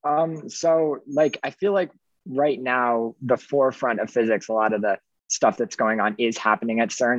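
A teenage high school student who speaks casually, filling his talk with "like" and "um". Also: no room sound, dull audio. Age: teens. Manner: casual, lots of "like" and "um". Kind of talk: radio interview.

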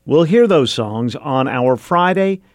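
A man hosts a public radio magazine program. His intonation moves around in a lively way, and he is recorded close on a studio microphone with very little room sound. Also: mid-range voice, takes it slowly, no echo. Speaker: radio show host. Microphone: close studio mic.

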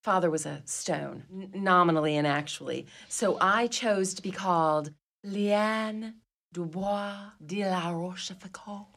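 A woman's voice: fairly low pitch, unhurried, a bit bright. A woman playing a dignified, imperious wealthy mistress of an old mansion in a comedy radio play, with a grand, formal, theatrical way of talking. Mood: haughty, affronted.

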